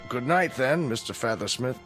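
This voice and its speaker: An actor playing a meek night custodian, deferential, hesitant and folksy, in classic television drama style. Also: male voice, mid-range voice, animated, normal speed, music in the background, close to an everyday voice. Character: meek, plain-spoken male night custodian.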